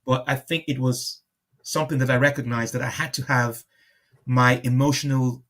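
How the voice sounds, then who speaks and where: A man's voice: mid-range voice, fairly even intonation, moderate pace, fairly bright tone. Reflective man talking in an interview. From born in Liverpool, moved to Nigeria at five. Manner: repeats and rephrases phrases as he reflects. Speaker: comedian, interview guest.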